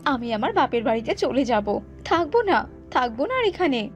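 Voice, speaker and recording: A sharp-tongued bird wife in a cartoon , acted by a woman. She is very high and animated, nagging with open scorn, over faint music. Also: normal speed. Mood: annoyed, scornful.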